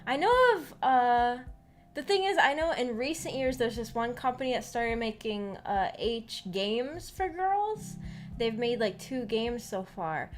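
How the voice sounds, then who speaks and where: High-voiced giggly woman chatting casually over music. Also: expressive, unhurried. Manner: musing, thinking aloud. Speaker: streamer hosting a visual novel book club. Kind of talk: casual chat with viewers.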